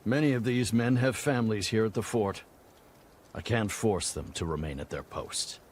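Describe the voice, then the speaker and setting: An actor playing a stern, blunt army general in a video game, speaking in a measured, formal way. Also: male voice, fairly low pitch, a little hiss or hum, close to an everyday voice. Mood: resigned, sober. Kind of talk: dramatic video game acting.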